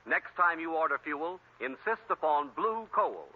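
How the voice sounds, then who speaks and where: A man's voice: on the high side, animated, a little slow. A man working as a radio announcer, reading a script in a formal, emphatic 1940s style. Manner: formal, emphatic sales delivery. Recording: tinny 1940s radio recording.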